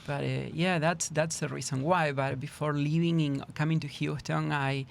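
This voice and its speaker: A middle-aged man from Argentina speaks with an Argentine Spanish accent and a gravelly edge to his voice. He talks thoughtfully, often restarting and repeating words as he searches for the phrasing. Faint noise hums behind him. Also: fairly high pitch, fairly even intonation, normal speed. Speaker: guest trauma therapist. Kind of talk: podcast interview.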